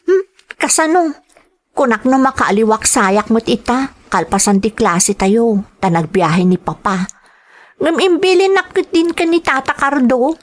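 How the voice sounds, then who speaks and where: Actress as young Ilocano female student, high girlish voice, cheerful, eager, radio drama. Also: a little slow, close to an everyday voice. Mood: explaining, eager. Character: cheerful young female student, sheltered rich best friend.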